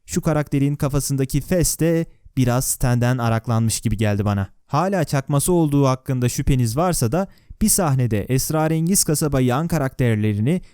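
A man's voice: medium pitch, mellow, clear, resonant tone, dry recording. A man narrating a video in a scripted but conversational way, with wry, sarcastic asides and questions to the viewer, recorded close on a voice-over microphone. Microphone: close, dry voice-over microphone.

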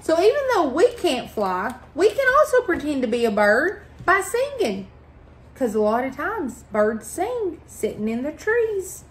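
A middle-aged woman reading a picture book aloud to children, phrasing expressively and deliberately. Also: sits high, very animated. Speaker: library storytime reader. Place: indoors at a table in a small room.

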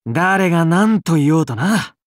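A man's voice: on the high side, animated, unhurried, no room sound. Cool young knight king for a game, friendly teasing, bouncy intonation, dry sound. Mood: breezy, friendly. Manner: casual, brotherly, bantering. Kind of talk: scripted game character dialogue.

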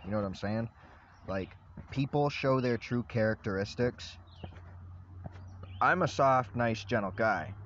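A young man ranting loudly and rambling into his phone from inside a parked vehicle. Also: mid-range voice, unhurried, pauses often, dull audio, a little hiss or hum. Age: young adult. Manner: loud, agitated, rambling rant. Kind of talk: ranting selfie vlog. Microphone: phone at arm's length.

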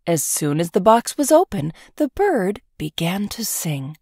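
A woman narrating a children's storybook in an expressive, scripted read-aloud style. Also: fairly low pitch, unhurried, slightly rough, no echo, studio quality.

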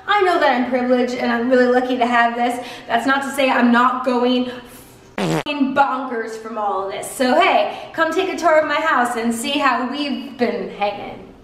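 A young woman giving a joking tour of her own home, self-mocking and deadpan, shifting between bright and flat delivery. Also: high-pitched, a little hiss or hum. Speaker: vlogger. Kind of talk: comedic home tour vlog. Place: apartment, indoors. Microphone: camera mic, handheld and at distance. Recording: roomy apartment echo with music behind.

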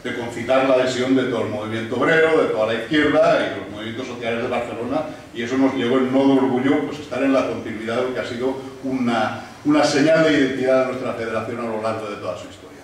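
A man in his fifties, a Catalan native, giving a measured talk as a guest speaker at a panel table, with many pauses and restarts. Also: medium pitch. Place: room with large windows, panel table. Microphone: desk mic on stand, filmed from across room. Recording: echoey room from a distance.

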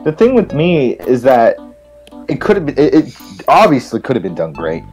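A man's voice: mid-range voice, wildly swooping pitch, normal speed, darkish tone, soft background music, poor audio. A man casually giving his opinion in a game discussion. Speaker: gaming stream panelist.

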